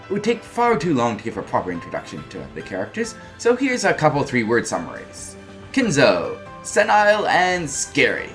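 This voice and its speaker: Reviewer narration, lively swooping pitch, informal and wry delivery, music underneath. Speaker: video game reviewer.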